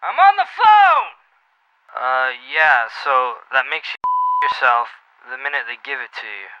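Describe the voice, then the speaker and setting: A man's voice: medium pitch, wildly swooping pitch, unhurried, very noisy. A man playing a worked-up, rambling conspiracy caller on the phone in a radio play. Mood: worked-up, paranoid. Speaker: caller to a talk radio show. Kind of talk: comic radio play acting. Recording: dull lo-fi phone call.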